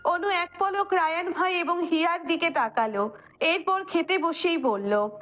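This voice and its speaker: A woman's voice: extremely high pitch, unhurried, fairly bright tone. A woman narrator reading a scripted romantic story. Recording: music bed under the voice.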